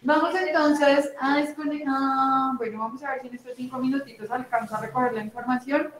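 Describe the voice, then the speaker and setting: Brisk Colombian woman teacher, echoey room. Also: high voice, steady tone, noisy background. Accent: Colombian Spanish. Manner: brisk, repeats names and ages, says "listo". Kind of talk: online class roll call. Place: indoors at home on a video call. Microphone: laptop mic on video call.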